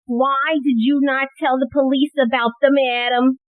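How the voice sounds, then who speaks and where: A woman's voice: sits high, level tone, normal speed, no room sound, phone-quality sound, natural voice. A woman playing an earnest, inquisitive and frank police assistant in an audio play. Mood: questioning.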